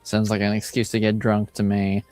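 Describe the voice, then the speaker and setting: A man's voice: on the low side, completely flat delivery, normal speed, a little mellow, dry recording, natural voice. A man playing a shady, worldly tavern informant in a tabletop game, talking in a dry, blunt, knowing way. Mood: blunt, wry. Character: shady gold-toothed male tavern informant, wry, worldly. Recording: music behind the voice.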